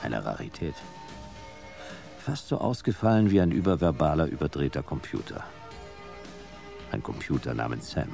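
World-weary private eye, very low creaky voice, clipped, deadpan, sardonic, radio noir. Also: male voice, moderate pace, pauses often, soft background music, heavily acted. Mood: dry, sardonic. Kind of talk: hard-boiled narration and dialogue in a radio play.

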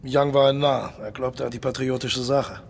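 A cynical spy colonel from a radio drama, played by a man, with a gravelly creak in a mid-pitched voice. He is courteous on the surface and condescending underneath, pressing his point with quiet menace. Faint noise sits in the background. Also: fairly even intonation, moderate pace, close to an everyday voice. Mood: cynical, insistent. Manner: polite, insistent, condescending, menacing. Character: suave, cynical mercenary colonel and veteran male spy. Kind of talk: German radio play acting.

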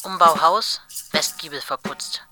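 A very low-pitched, terse woman recites a work log for a radio play. Her phrases are clipped and list-like, set against loud drumming. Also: unhurried. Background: loud drum music.

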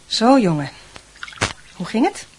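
A woman's voice: on the high side, sing-song, slow pace, a little mellow, a little hiss or hum, rough recording. An actress playing a worried, protective middle-aged mother in a radio play, fussing and emotional. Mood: caring. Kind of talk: radio play acting in Dutch.

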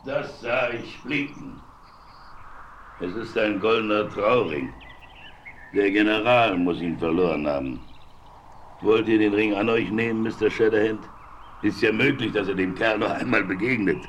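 An actor playing a righteous, authoritative homesteader in a German western radio play, speaking deliberately and sternly. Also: male voice, lowish voice, a little hiss or hum, lightly acted. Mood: matter-of-fact. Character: stern, righteous frontier homesteader laying down the law.

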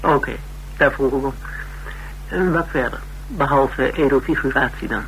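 An actor playing a polite, inquisitive interviewer in a radio drama, probing with courteous, lightly amused questions. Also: male voice, mid-range voice, normal speed, a bit bright, close to an everyday voice, poor audio. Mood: curious, lightly amused. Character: polite, inquisitive male interviewer sizing up a recruit. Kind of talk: naturalistic Dutch radio drama acting. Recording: radio recording with background hiss and hum.

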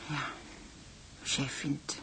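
An actress playing a gentle, worried young sailor's wife in a radio drama, speaking softly and hesitantly. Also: female voice, slight background noise. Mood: tender resignation. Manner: soft, slow, hesitant.

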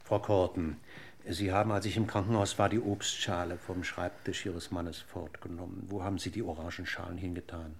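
A methodical police inspector in a radio crime play. The voice is low and gravelly. He probes with deliberate courtesy and never lets go of a point. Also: flat delivery, slight background noise. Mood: politely probing. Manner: deliberate, courteous interrogator's delivery. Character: male police inspector, probing, methodical, politely insistent. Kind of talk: German radio crime drama acting.

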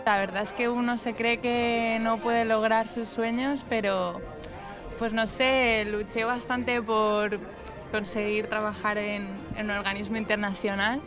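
Hesitant Madrid woman over loud music. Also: on the high side, flat delivery, measured pace. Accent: Madrid Spanish. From Spain. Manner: hesitant, many fillers and restarts. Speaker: interviewee. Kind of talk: casual interview.

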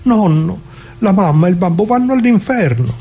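Man putting on a higher-pitched pleading little boy. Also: lively intonation, normal speed, mellow, slightly rough, exaggerated voice. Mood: anxious pleading. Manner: pleading, childlike. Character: frightened little grandson pleading with grandpa.

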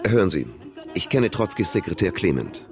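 An actor playing a self-assured intellectual conspirator in a radio drama, articulate and insistent. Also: male voice, deep voice, sing-song, moderate pace, music in the background. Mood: urgent, assured. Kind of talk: radio crime drama acting.

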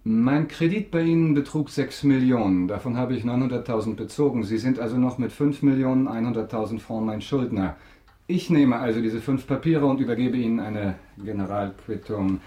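An actor in a German radio drama playing a suave, calculating count, polite, measured and quietly ironic. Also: male voice, mid-range voice, echoey room, natural voice. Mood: coolly insistent.

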